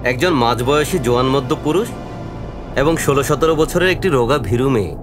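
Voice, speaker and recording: A man's voice: mid-range voice, animated, brisk, music in the background, natural voice. An actor playing a knowing village man who passes on local news in audio drama dialogue. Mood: grave, intrigued.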